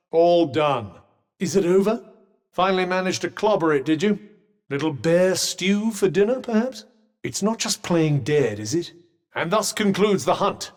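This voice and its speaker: Droll posh British magical companion in long reverb. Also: male voice, a little high. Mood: sardonic. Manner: posh, droll, understated, faintly condescending. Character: dry, haughty, sardonic talking magical cuff companion. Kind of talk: video game companion voice lines.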